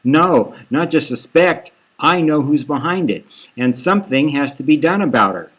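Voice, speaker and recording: A man's voice: a little high, lively intonation, moderate pace, pitched above the performer's own voice. Man acting uneasy, reluctant regular-guy informant. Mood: uneasy, accusing. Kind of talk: dark satirical audio novel dialogue.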